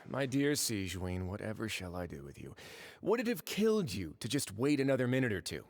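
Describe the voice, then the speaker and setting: A man's voice: on the low side, sing-song, no room sound. A man playing a composed, drily witty warden in a video game, speaking politely and without hurry. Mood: wry. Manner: unhurried, wry, polite. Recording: music under the voice.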